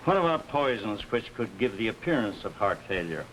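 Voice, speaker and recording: A man acting as an older, deliberate police investigator in a 1950s television mystery. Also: on the low side, big pitch swings, a bit bright. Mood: measured, official. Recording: noisy old 1950s television soundtrack.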